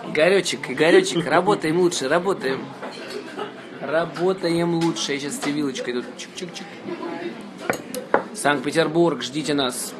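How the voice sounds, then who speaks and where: A man talks in a fairly high voice, chatting casually and teasing his band as he streams live. He is indoors at a table, and loud crowd chatter fills the background. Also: moderate pace, long pauses. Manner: joking, teasing, playful. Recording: loud crowd noise behind.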